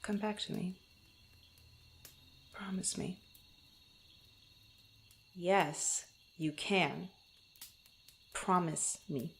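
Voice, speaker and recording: A woman playing a proud but tender young female adventurer in an intimate ASMR roleplay, speaking softly and haltingly. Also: fairly low pitch. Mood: earnest, pleading. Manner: halting, stammering, soft-spoken with breathy asides.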